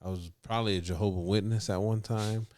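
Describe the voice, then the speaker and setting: A man's voice: fairly low pitch, fairly even intonation, fast, darkish tone, dry recording, clean studio sound. A young man telling candid stories from his life in a laid-back, slangy way. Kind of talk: casual storytelling.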